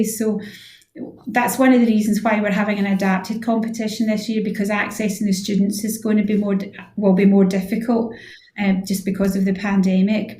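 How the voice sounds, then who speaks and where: A woman's voice: medium pitch, mellow. A Scottish woman in her sixties giving thoughtful, wordy answers as a guest in a conversational radio interview, often repeating her phrases. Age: 60s. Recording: echoey room sound.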